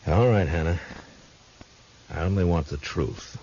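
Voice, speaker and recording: An actor playing a firm, plain-spoken marshal in a 1950s radio western, stern and probing. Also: male voice, low-pitched, a little slow, mellow. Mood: pressing. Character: firm, plain-spoken frontier marshal, tough but fair. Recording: dull, hissy 1950s radio recording.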